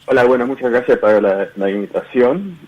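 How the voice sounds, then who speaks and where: A man with an English-speaking Canadian accent, answering interview questions in a measured way and often repeating words. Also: medium pitch, expressive, dull audio. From Uruguay and Canada. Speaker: guest researcher. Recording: radio broadcast audio.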